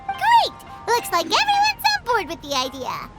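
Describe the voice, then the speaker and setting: A tiny chirpy fairy sidekick from a video game. The voice is extremely high and childlike, swooping wildly and bubbling with excitement, with loud music behind. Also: female voice, moderate pace, heavily acted. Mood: bubbly, curious. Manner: bubbly, exclamatory. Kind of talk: scripted game dialogue.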